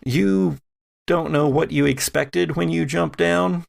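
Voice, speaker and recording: A man working as game master, narrating a tabletop horror game in a measured way with dramatic pauses. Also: on the high side, steady tone, gravelly.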